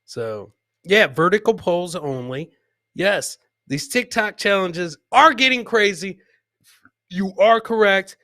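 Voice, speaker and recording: A man with a fairly high, sharp, forceful voice hosts a live comedy show. He talks casually to his viewers in crude, joking slang and repeats lines to drive them home. The sound is dry, with very little room in it. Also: lively intonation, slow, dry recording. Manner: repeats phrases for emphasis. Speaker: live comedy podcast host. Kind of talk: casual live clip commentary, chatting with viewers.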